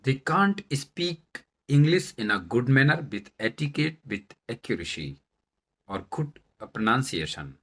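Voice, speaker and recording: A man with an Indian accent whose first language is Bundelkhandi addresses viewers in a mid-pitched voice. He speaks slowly and deliberately, breaking sentences into short chunks and leaning on key words. The style is that of a personal introduction to camera. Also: big pitch swings, fairly bright tone. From Madhya Pradesh, India. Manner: deliberate, halting phrasing with stressed words. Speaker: vlogger, English learner turned presenter. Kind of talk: self-introduction talk to camera.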